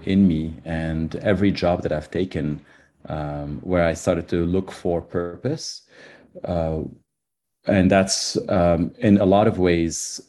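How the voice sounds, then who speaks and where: A man from Lebanon, a guest in a podcast interview, answering in a thoughtful way with many pauses and fillers. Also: low voice, mellow. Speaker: guest, nonprofit CEO.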